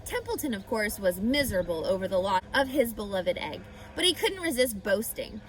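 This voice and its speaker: Expressive woman reading a storybook aloud. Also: mid-range voice, normal speed, noisy background. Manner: expressive, addresses listener. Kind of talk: reading a children's book aloud.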